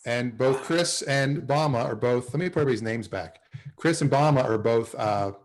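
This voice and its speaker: Middle-aged man, medium pitch, casual host, asking questions, video call. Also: normal speed. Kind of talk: casual chat. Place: indoors on a group video call.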